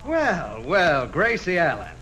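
Smug, hearty old beau, high bright voice, 1940s radio comedy, faint noise. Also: male voice, wildly swooping pitch, takes it slowly, muffled recording, exaggerated voice. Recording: faintly noisy old-time radio recording.

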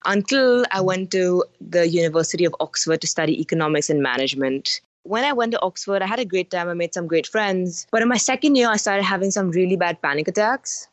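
Young woman from India, dry low voice. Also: no echo. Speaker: guest singer-songwriter. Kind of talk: casual interview chat.

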